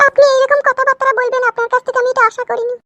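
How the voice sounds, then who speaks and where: A performer voicing a shy, hesitant young widowed woman in an animated comedy, with a squeaky, artificially high voice. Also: rapid-fire, dry recording, exaggerated voice. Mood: hesitant, firm.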